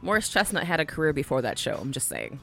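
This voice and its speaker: Low-voiced woman, blunt podcast co-host. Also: sing-song, fast talker, creaky, a bit bright, faint music behind. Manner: blunt, profane, frequent agreeing murmurs. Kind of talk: casual podcast chat.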